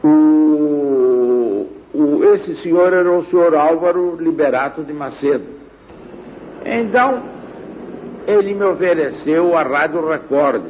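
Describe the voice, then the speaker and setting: An older Brazilian man reminiscing in a halting, deliberate way, pausing mid-phrase. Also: a little high. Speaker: interviewee, radio pioneer. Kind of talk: spoken reminiscence. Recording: dull archival interview with background noise.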